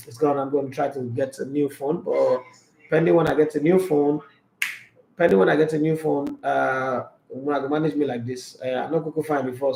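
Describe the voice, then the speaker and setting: Boastful Nigerian sports show host. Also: male voice, medium pitch, vocal fry, darkish tone, slight background noise. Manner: chatty, boastful, with pidgin asides. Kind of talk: casual sports talk show. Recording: roomy echo.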